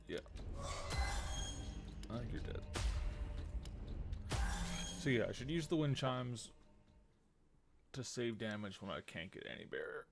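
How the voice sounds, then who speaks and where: A man's voice: medium pitch, lively intonation, measured pace, gravelly, pauses often. A man giving casual live gameplay commentary as a streamer, thinking aloud and muttering to himself. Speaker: game streamer. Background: game music.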